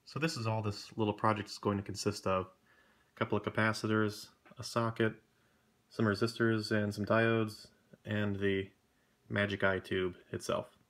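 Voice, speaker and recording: Guy w a lowish voice showing a hobby project at his bench, informal, just talking it thru. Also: little pitch movement, moderate pace, a bit bright. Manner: informal, matter-of-fact, unscripted. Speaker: hobbyist presenter. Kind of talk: casual workbench demonstration. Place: indoor electronics workbench.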